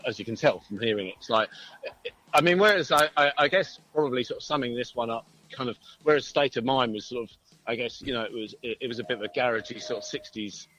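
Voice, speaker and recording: A man's voice: mid-range voice, fast, pushed and bright, highs cut off. A man, a musician being interviewed, talking casually in a hesitant, rambling way. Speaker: band musician, interview guest.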